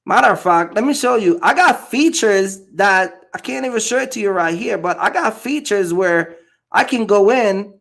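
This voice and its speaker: Gravelly man chatting live. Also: sits high, animated, normal speed, a bit bright. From Jamaica. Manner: loose and chatty, lots of fillers and repeats. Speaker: box truck driver streaming live. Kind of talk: casual livestream chat.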